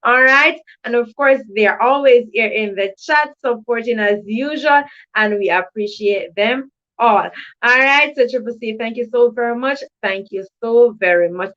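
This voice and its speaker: High-voiced Jamaican woman hosting, dull lo-fi audio. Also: normal speed, a bit bright. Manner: effusive, repeats thanks and stock phrases. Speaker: host of a children's educational livestream. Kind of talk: live chat hosting with shout-outs.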